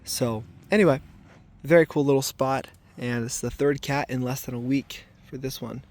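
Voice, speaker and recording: A man with a raspy voice today, talking casually and thoughtfully to a camera while checking traps outdoors, with filler ums and restarts. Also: mid-range voice, fairly even intonation, moderate pace, a little mellow. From southern Arizona. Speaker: trapper filming his trapline. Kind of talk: casual outdoor vlog narration. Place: outdoors in desert washes.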